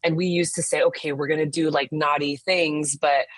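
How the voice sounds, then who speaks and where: A woman's voice: deep voice, fairly even intonation, normal speed, no echo. A woman in her thirties from the United States, a therapist telling her own story in an open, frank, casual way, often saying "like". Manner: open and frank, frequent "like" fillers. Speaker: therapist and intimacy coach, podcast guest. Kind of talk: candid personal story in casual conversation.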